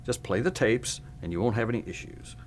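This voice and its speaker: A man acting as a laid-back boss in an audio drama. His voice sits fairly low, and he talks casually and breezily, handing out instructions as he goes. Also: expressive, a little fast, a little hiss or hum, lightly acted. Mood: breezy, instructing. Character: laid-back male boss briefing a new archivist. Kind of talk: naturalistic audio drama dialogue.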